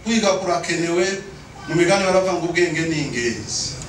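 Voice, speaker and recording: A man's voice: on the high side, lively intonation, fast. Emphatic male preacher in a very echoey hall. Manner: emphatic, repeats phrases, calls for responses. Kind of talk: sermon to a congregation. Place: indoor church stage at a pulpit. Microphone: handheld microphone through room speakers. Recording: very echoey hall, distant, noisy.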